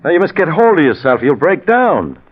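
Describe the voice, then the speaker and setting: An actor playing a concerned, steadying friend, earnest and admonishing in a deliberate 1940s radio drama style. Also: male voice, fairly high pitch, big pitch swings. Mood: earnest reasoning. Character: concerned, steadying male friend of a doomed man. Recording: very muffled old 1940s radio recording.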